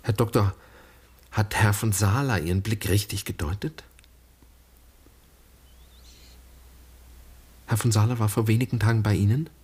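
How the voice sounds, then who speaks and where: An actor playing a serious, searching son and brother in a German radio drama, earnest, polite and probing. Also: male voice, lowish voice, fairly even intonation, a little fast, a little mellow, slightly rough. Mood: probing, troubled.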